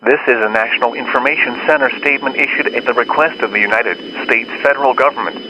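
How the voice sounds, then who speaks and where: Male alert announcer over a clearly noisy broadcast. Formal, official scripted read at an average pitch. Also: quick pace, lo-fi sound. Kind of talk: scripted law enforcement warning narration.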